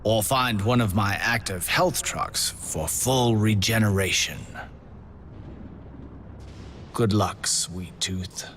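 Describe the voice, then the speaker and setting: An actor playing a suave, gleefully morbid contest master, briefing players in a video game with deliberate, silky menace. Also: male voice, on the low side, fairly bright tone, slight background noise. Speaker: contest host. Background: music.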